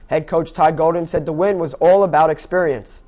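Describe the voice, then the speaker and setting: High-pitched young male sports anchor. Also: steady tone, moderate pace, mellow. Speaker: sports anchor and play-by-play announcer. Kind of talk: scripted sports newscast and baseball commentary.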